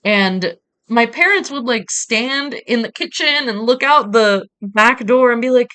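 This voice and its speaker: A woman from Brazil chatting casually as she streams a game, telling stories in an animated way with playful asides. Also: on the high side, measured pace, clean tone. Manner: animated storytelling with acted-out quotes and playful asides. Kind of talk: casual chat while playing a game on a livestream.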